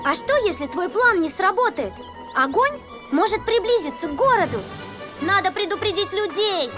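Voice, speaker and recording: A woman's voice: extremely high pitch, lively intonation, moderate pace. A woman voicing an earnest, cautious, knowledgeable schoolchild in a children's cartoon. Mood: earnest, concerned. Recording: background music under the voice.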